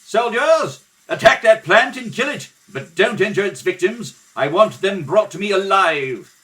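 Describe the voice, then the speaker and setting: A man playing an authoritative military commander giving forceful orders in old radio serial style. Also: sits high, animated, unhurried, voice pushed up. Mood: barking orders.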